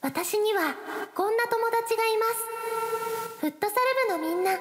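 Clear hiss sits behind a very high, girlish voice. A woman plays an earnest high school club captain in a radio drama, gentle and placating as she speaks. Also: full character voice. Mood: earnest narrating. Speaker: lead actor and narrator. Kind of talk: radio drama narration and dialogue.

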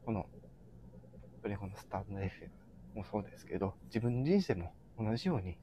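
A man hosting a live audio show, speaking casually and earnestly to listeners, often halting as he searches for words. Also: fairly low pitch, expressive, warm tone. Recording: background noise, live audio stream.

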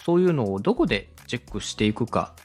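A man's voice: mid-range voice, sing-song, fast, darkish tone, breathy edge, soft background music. A man giving a polite, step-by-step explanatory talk as a podcast host and instructor. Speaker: podcast host and radio director teaching podcast making.

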